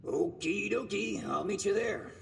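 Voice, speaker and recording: An actor playing an easygoing caravan guard in a video game, a loyal underling with a casual, expressive delivery. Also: male voice, high-pitched, a little slow, vocal fry, big-room sound, recorded from a distance, slight background noise, heavily acted. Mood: matter-of-fact. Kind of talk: video game character dialogue.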